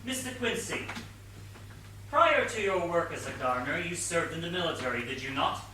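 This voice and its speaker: An actor playing an earnest, officious police inspector in a stage whodunit, questioning in a formal manner. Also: male voice, a little high, expressive, normal speed, sharp tone. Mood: officious, probing. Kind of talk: amateur one-act stage play acting. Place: indoor theatre stage before an audience. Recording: echoey theatre stage from far, faint noise.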